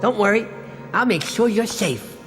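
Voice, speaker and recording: Goofy male cartoon sloth, high voice, swooping intonation, boastful yet soft-hearted, rambling, music behind. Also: lively intonation, normal speed. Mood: doting. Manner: exclamatory, rambling, theatrical. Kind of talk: animated game character acting.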